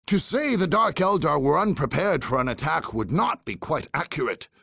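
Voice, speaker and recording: An actor playing a grave, portentous narrator of a dark war chronicle in a game cutscene, with a gravelly, husky voice and measured delivery. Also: male voice, fairly high pitch, sing-song, no echo, clean studio sound, exaggerated voice.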